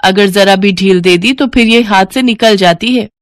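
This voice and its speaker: A woman's voice: mid-range voice, moderate pace, dry recording, natural voice. Woman voicing a bossy, complaining older aunt-in-law, dry sound. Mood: bossy, cutting. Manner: complaining, domineering. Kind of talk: Hindi cartoon story voice acting.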